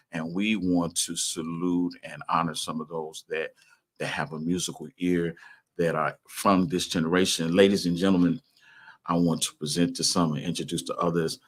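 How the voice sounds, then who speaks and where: A man hosting a casual gospel music interview, folksy and emphatic, often repeating his phrases. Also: sits low. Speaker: talk show host.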